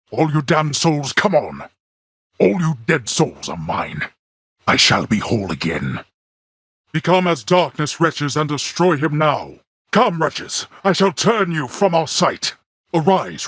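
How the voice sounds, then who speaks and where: An actor playing a grim, imperious god of the dead and stern father in a video game, with a husky, gravelly voice and formal, archaic, menacing delivery. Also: on the high side, a bit bright, dry recording, studio quality, exaggerated voice. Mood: furious, commanding. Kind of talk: theatrical video game villain acting.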